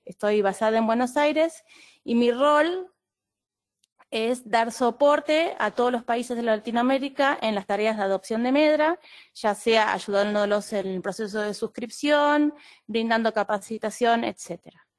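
A woman's voice: fairly high pitch, unhurried. A woman with an Argentine Spanish accent, hosting and presenting an online training webinar in a friendly, explanatory way. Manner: friendly, explanatory, with occasional fillers. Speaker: webinar host and clinical associate.

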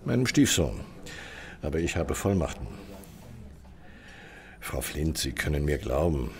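Low-voiced, guarded male ambassador. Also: very animated, measured pace, a little mellow, full character voice. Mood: defensive, formal. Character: guarded, courteous widowed ambassador, middle-aged man. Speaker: actor. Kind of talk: radio crime drama dialogue.

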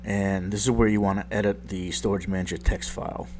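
A man with a very low, groggy voice, like he just woke up, talks casually through a software tutorial. He rambles and pokes fun at his own mistakes. A faint hum sits under the recording. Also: little pitch movement, fairly quick. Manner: rambling, self-deprecating, lots of ums. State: just woke up, deep morning voice. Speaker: tech tutorial youtuber.

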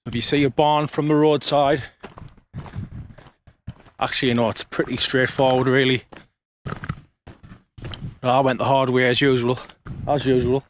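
A man's voice: mid-range voice, little pitch movement, normal speed, long pauses, slight background noise. A man, chatting casually as he explains his work, recorded outdoors on a lapel mic. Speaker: landscape photographer. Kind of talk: casual on-location vlog. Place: outdoors on open limestone moorland.

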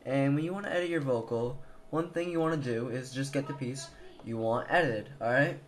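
A young man talks through a casual computer tutorial in an informal, hesitant way, thinking aloud. He has a medium-pitched voice, picked up by a handheld camera mic with some noise. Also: a little fast. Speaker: tutorial presenter. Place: indoors at a computer. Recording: noisy handheld camera recording.

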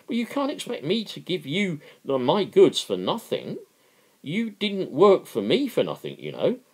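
A man's voice: on the high side, wildly swooping pitch, measured pace, husky, pitched above the performer's own voice, exaggerated voice. A man voicing a smug, falsely kind capitalist boss in a dramatic book reading, affable, patronising and dismissive. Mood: affably smug.

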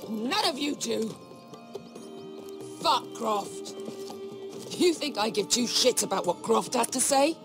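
A woman's voice: fairly high pitch, expressive, takes it slowly, long pauses, over music. An actress playing a drunk, foul-mouthed female mercenary in a video game, aggressive and taunting. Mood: bitter. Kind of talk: dramatic video game acting.

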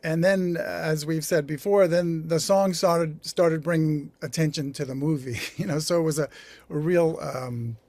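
A man in his sixties with an American accent, talking reflectively in an interview, halting and correcting himself as he goes. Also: fairly high pitch, a little mellow, no echo. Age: 60s. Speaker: songwriter guest. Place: small home recording studio. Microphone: video call.

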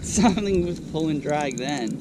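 A man's voice: on the high side, expressive, measured pace. A man in his thirties with an Australian accent, talking to himself in a casual running commentary from a kayak at sea, picked up by a camera mounted on the boat. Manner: muttered running commentary while paddling and fishing. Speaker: kayak fishing vlogger. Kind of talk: casual self-filmed narration. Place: kayak on the open ocean, outdoors. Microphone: action camera mounted on the kayak. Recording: loud wind and water noise. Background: wind and water noise.

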